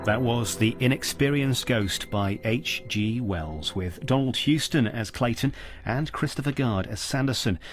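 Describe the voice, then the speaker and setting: A man's voice: medium pitch, measured pace, over music. A British man reading a scripted broadcast announcement as a radio continuity announcer. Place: radio studio.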